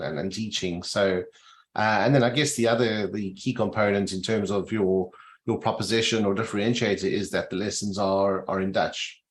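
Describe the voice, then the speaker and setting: Male interviewer, podcast host, easygoing questioning, lower register. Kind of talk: casual conversational interview.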